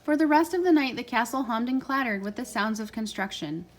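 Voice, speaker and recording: A woman's voice: on the high side, lively intonation, fairly quick, a little hiss or hum. A woman reading a children's storybook aloud as a parent reading with a child.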